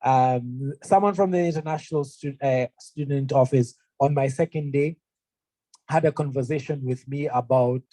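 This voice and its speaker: Man from Kenya, young adult, warm mellow voice, dry close sound, earnest chatty talk. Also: mid-range voice, moderate pace, no room sound, low-quality recording. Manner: earnest, conversational, frequent fillers. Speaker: university representative. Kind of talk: presentation to prospective students. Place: room presentation, also streamed online.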